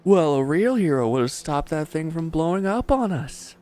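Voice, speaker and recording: A man's voice: a little high, sing-song, moderate pace, darkish tone. A man playing a bitter, wounded villain who is grieving and accusing, speaking with weary sarcasm in a scripted audio roleplay addressed to the listener. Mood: sardonic, accusing.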